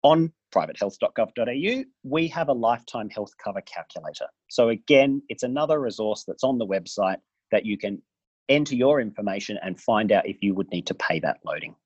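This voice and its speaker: A man, an official calmly explaining government health insurance rules in an interview, measured and careful. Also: on the low side, slightly rough, dry recording, dull audio.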